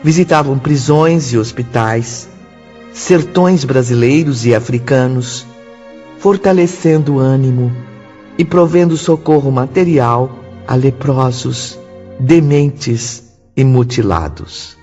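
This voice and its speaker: A man's voice: medium pitch, slow, mellow. A man with a Brazilian accent giving solemn scripted narration as a radio drama narrator. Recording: reverberant, dull lo-fi, music bed.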